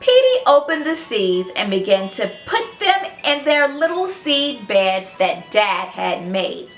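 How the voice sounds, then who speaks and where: A woman hosting a children's read-aloud, narrating in an animated, expressive storybook style and speaking directly to young listeners. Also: fairly high pitch, slow pace, roomy sound, faint music behind.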